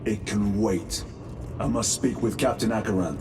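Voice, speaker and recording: An actor playing a stoic veteran space marine lieutenant in a video game, terse, formal and commanding. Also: male voice, lowish voice, normal speed, natural voice. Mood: stern, resolute. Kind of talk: cinematic video game acting. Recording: long hall reverb, loud music.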